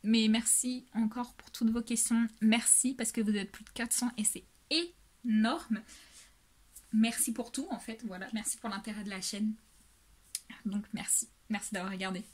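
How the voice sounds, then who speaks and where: A young woman in her twenties hosts a relaxed question-and-answer vlog about books. She talks in a chatty, rambling way, full of fillers and restarts, with a voice sitting a little higher than most women's. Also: moderate pace. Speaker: book channel host. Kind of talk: casual Q&A vlog.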